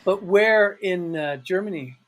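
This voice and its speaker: A man, a guest on a podcast, talking on a call from his office in a halting way, full of restarts. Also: sits high. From Canada. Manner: halting, with restarts and "you know, like". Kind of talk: casual interview on a call.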